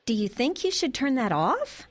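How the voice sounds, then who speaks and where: A woman's voice: high-pitched, sing-song, moderate pace. An actress playing a flustered, earnest, suspicious middle-aged mother turned amateur sleuth in an audio drama, hesitant in her natural dialogue.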